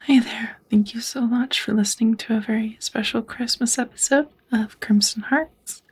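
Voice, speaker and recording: A woman who makes ASMR audio, addressing her listeners in a soft, whispery, breathy voice. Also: fairly high pitch, fairly even intonation, normal speed.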